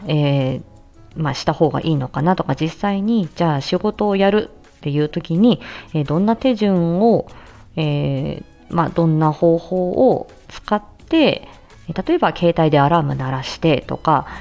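A woman's voice: fairly low pitch, darkish tone, highs cut off. A woman giving a casual explanatory talk as an educational host, going step by step through examples in a conversational way. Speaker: speech-language therapist hosting an educational audio show.

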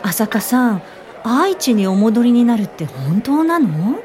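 A disbelieving city woman in a radio drama, a concerned friend. Her mellow voice swings widely in pitch, over a light crowd murmur. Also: sing-song, a little slow. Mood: incredulous.

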